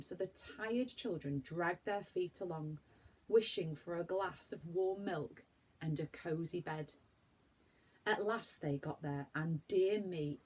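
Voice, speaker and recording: A woman reading a children's story aloud like a primary school teacher, with animated, dramatic emphasis for young listeners. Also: fairly low pitch, husky.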